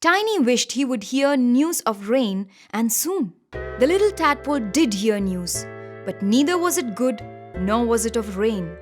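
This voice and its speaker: A woman's voice: on the high side, very animated, unhurried, over music. A woman reading a scripted children's story as its storyteller.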